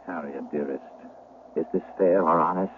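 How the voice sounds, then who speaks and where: An actor playing an ardent, yearning gentleman lover with intense melodrama in a radio play. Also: rumbling low, normal speed, narrow, tinny audio. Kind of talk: 1970s radio drama acting. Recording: thin, hissy vintage radio recording.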